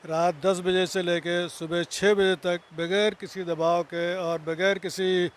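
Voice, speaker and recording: An older man with a high-pitched, even voice, making a formal press statement. There is faint street noise around him. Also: unhurried. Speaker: community religious representative.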